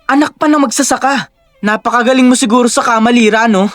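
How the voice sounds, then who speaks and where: A woman's voice: fairly high pitch, quick pace, no echo, soft background music, natural voice. A woman voicing a sneering, crude rich socialite who talks with taunting contempt.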